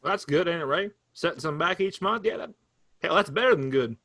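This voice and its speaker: A man's voice: fairly high pitch, animated, fairly quick, pushed and bright, no echo, full character voice. A man reading the part of an easygoing older farmer brother, coaxing and teasing in a folksy way. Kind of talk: staged play reading.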